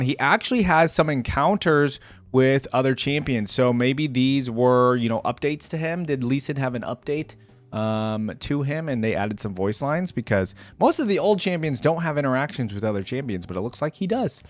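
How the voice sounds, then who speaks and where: A man hosting a reaction video, giving casual, slangy, off-the-cuff commentary. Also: medium pitch. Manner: informal, slangy.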